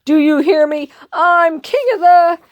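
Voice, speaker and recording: A woman voicing a gruff, boastful male prairie dog bully with a blunt snarl in a children's storybook. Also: medium pitch, animated, slow, dry recording, dull audio. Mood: gloating. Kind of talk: animated children's storybook character voice.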